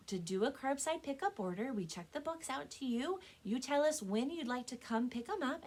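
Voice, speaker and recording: A woman speaking as a children's librarian and storyteller, with an expressive, clearly enunciated read-aloud delivery. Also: on the high side. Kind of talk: children's storytelling and book recommendations.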